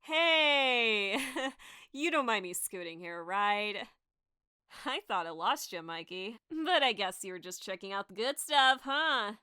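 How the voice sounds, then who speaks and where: A woman's voice: mid-range voice, expressive, unhurried, sharp tone, smooth, no echo, clean studio sound. A woman voicing a breezy, sly and sarcastic contestant in a cartoon. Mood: teasing. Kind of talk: cartoon character dialogue.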